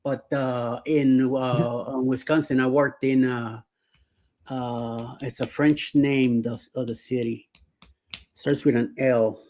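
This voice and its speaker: A man's voice: mid-range voice, little pitch movement, takes it slowly, dark tone. Chatty man in his sixties, rambling. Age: 60s. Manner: rambling, many fillers and restarts, laughs often. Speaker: show host. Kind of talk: casual live-stream chat.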